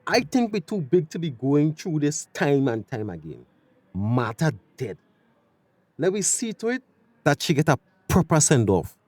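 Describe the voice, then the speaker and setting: A middle-aged Tobagonian father in a radio drama, recorded dry, with a very mobile pitch. He is grieving and quick-tempered, emphatic and repetitive in island dialect. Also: very animated, darkish tone, dry recording. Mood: stern. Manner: emphatic, repeats phrases in dialect. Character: bereaved, quick-tempered father trying to keep peace.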